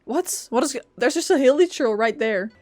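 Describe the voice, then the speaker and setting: A woman's voice: high voice, expressive, moderate pace, dark tone, dry recording. A woman game streamer giving casual, playful, wry reaction commentary.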